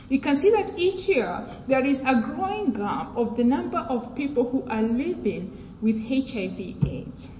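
A woman from Kenya with a British accent, giving a measured academic presentation with fillers and restarts. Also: sits high. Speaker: research presenter. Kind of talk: academic conference talk. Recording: echoey hall, dull sound, faint noise.

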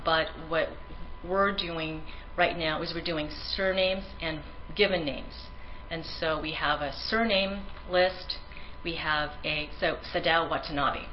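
A woman's voice: low voice, lo-fi sound, a little hiss or hum. A woman researcher giving a conference presentation in an earnest manner, with frequent ums and restarts; her first language is Tagalog.

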